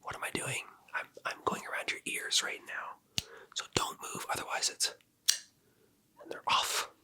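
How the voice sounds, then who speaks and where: An ASMR performer whispering softly and teasingly, close to the mic, in an unhurried roleplay as a barber. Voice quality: breathy whisper. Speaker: ASMR creator playing a barber in a haircut roleplay. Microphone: close-up ASMR microphone.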